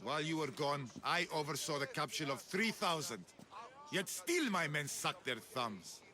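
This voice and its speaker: An actor playing a ruthless, proud Spartan general in a video game, speaking in a boastful, curt, imperious way. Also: male voice, a little high, expressive, moderate pace, bright, forceful tone. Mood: stern, confident. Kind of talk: scripted video game acting.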